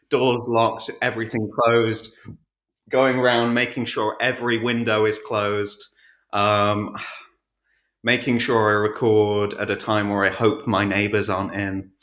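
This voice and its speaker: A man's voice: on the low side, little pitch movement, unhurried, fairly bright tone. A man being interviewed about his audiobook narration, chatting casually in a self-deprecating, jokey, slightly hesitant way. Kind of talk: casual podcast chat. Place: untreated room at home. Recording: roomy home-recorded echo.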